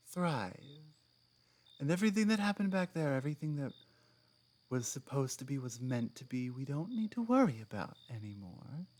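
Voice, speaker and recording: A strong, guarded orc companion played by a woman speaks in a low female voice at a very slow pace. She is earnest and proud, breaking her thoughts into deliberate phrases with weighty pauses. It is an intimate ASMR audio roleplay addressed straight to the listener. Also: warm tone, exaggerated voice. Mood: solemn, longing.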